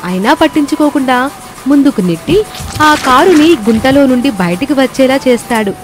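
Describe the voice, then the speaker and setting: A woman's voice: high voice, lively intonation, normal speed, over music. A woman reading scripted narration for a moral storybook tale.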